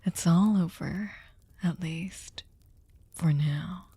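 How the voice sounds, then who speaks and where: A woman playing a sadistic, seductive vampire mistress in an ASMR roleplay, commanding and condescendingly tender, breathy and often whispering. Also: on the low side, extremely slow, warm tone, no room sound, full character voice. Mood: soothing, possessive. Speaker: ASMR voice performer. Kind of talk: ASMR roleplay, slow intimate monologue. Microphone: close studio microphone, dry.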